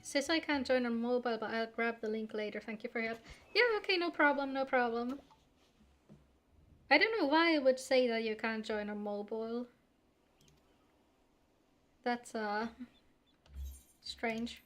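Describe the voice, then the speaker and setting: High-voiced woman streamer chatting. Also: unhurried, pauses often, a bit bright. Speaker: live game streamer. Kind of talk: casual livestream chat mixed with reading game dialogue aloud. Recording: game music behind the voice. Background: background music.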